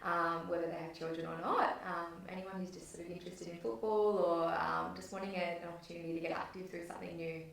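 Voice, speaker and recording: A woman's voice: lowish voice, fairly even intonation, quick pace, gravelly, few pauses, a bit bright. A young woman from northern New South Wales, answering interview questions in a friendly, hesitant way with many ums. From northern New South Wales, Australia. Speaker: guest, football program coordinator. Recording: echoey, distant from the mic.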